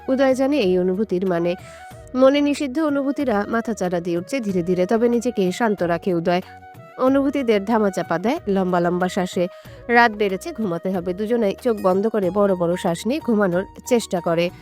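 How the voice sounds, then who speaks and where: A woman's voice: mid-range voice, darkish tone. A woman reading a scripted romantic story as an audio story narrator. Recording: music under the voice. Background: background music.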